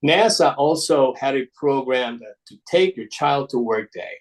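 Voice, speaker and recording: A man with an Ecuadorian Spanish accent giving an informal slide presentation, speaking haltingly and often restarting his words. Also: medium pitch, expressive, poor audio. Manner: halting, with repeated word restarts. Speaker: guest presenter, paper model designer.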